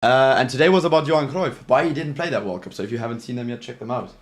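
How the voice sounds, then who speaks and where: A man's voice: medium pitch, very fast. German-accented man chatting on a livestream. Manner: chatty, reads out chat messages, many restarts. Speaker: livestream host reacting to a match. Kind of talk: casual live reaction chat.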